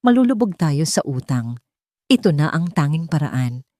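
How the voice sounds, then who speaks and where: A woman's voice: deep voice, sing-song, normal speed, dark tone, no echo. Woman voicing an insistent, scheming middle-aged uncle. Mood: worried. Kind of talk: audio storybook character dialogue.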